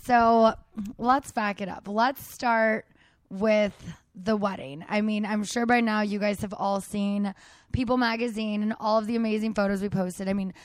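A woman's voice: medium pitch, fairly even intonation, moderate pace, no room sound. Woman, 30s, podcast host, bubbly, casual, dry room. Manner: bubbly, peppered with "like". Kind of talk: casual chat. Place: living room of a house.

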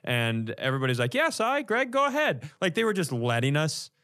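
Animated man telling funny stories. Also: on the high side, fairly quick. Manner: animated, acts out quoted lines. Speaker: comedy podcast co-host. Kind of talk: casual comic storytelling.

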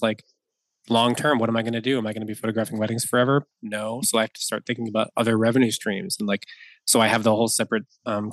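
A man's voice: mid-range voice, a bit bright, dry recording, poor audio. A man in his thirties, a wedding photographer, chatting as a podcast guest, rambling with many fillers and restarts and laughing easily. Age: 30s. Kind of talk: casual podcast conversation.

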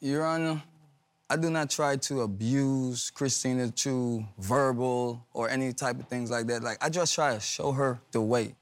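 A man's voice: medium pitch, fairly even intonation, unhurried. Rambling defensive man. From Guyana. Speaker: litigant.

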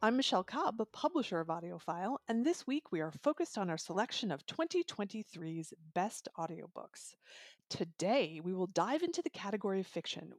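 Creaky-voiced woman podcast host. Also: medium pitch, a little mellow, no echo, studio quality. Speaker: podcast host, magazine publisher. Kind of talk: hosted audiobook interview, polished intro and chat.

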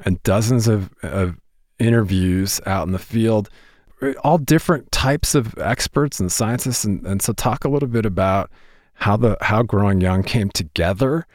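A man's voice: on the low side, normal speed, a little mellow, no room sound, clean studio sound. A man hosting an interview podcast, asking long, rambling, enthusiastic questions with many fillers. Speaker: podcast host.

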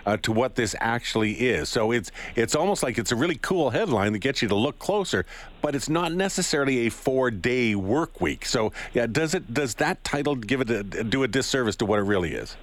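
A man's voice: mid-range voice, animated, brisk. A man interviewing a guest, asking long, rambling questions with hesitant fillers and restarts. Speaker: news interviewer.

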